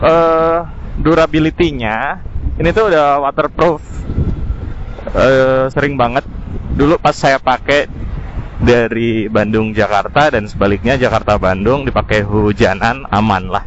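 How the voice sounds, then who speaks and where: A man casually reviewing gear in an informal way, mixing in English terms, recorded on a helmet intercom mic while riding. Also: mid-range voice. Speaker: motovlogger. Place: outdoors, riding a scooter in city traffic. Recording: dull intercom audio with faint vehicle noise. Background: faint traffic and engine noise.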